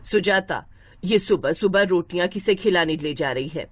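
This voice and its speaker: A woman's voice: on the low side, moderate pace, no room sound, lightly acted. A woman voicing a scornful, grumbling older mother-in-law in a moral story, with a taunting, sarcastic manner. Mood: scolding. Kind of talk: acted moral-story dialogue.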